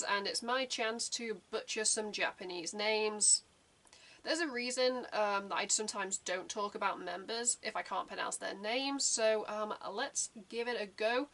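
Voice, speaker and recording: A woman's voice: medium pitch, fairly bright tone. A young adult woman hosting a reaction channel, talking casually in a rambling, chatty way with lots of fillers.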